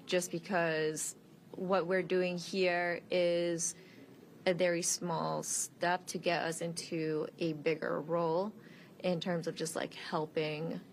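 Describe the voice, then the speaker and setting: A woman's voice: fairly low pitch, vocal fry. A woman in her twenties, a student, answering in an on-location news interview. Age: 20s. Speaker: college student. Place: college training lab, indoors. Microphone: handheld interview mic. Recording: noisy room ambience.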